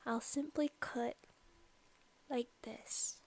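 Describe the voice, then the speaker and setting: An obsessive, sadistic vampire woman , acted out by a female ASMR performer, murmurs at a very slow pace. Her soft, breathy voice crackles with vocal fry and slips into whispers. She is teasing and menacing, like a predator toying with a pet. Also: on the high side, steady tone, heavily acted. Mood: hungry, coaxing. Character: obsessive, sadistic centuries-old female vampire posing as student. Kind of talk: ASMR roleplay acting.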